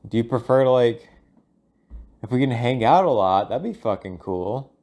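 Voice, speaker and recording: A man thinking aloud in a casual, rambling audio log, halting and informal, sometimes voicing imagined lines of dialogue. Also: mid-range voice, animated, darkish tone. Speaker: solo audio log host.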